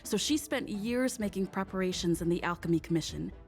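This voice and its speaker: A woman's voice: mid-range voice, lively intonation, brisk, close to an everyday voice. An actress playing an earnest young female traveler in a game dub, explaining things carefully and matter-of-factly. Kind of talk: dubbed video game dialogue acting. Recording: music behind the voice.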